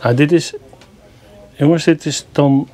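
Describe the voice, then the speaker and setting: A fairly high-voiced man talks his way through a motorhome, enthusiastic but always finding something to grumble about. The camera audio carries a clear hiss of background noise. He sounds relaxed and conversational, as if speaking to his regular viewers. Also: unhurried. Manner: chatty, enthusiastic, mildly grumbling. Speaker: vlogger reviewing campers. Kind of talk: casual walkthrough vlog. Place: inside a motorhome at a product show.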